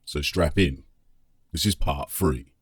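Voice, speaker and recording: A man narrating a scripted fantasy audiobook dramatically, with measured, emphatic phrasing. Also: rumbling low, breathy edge, dry recording, studio quality.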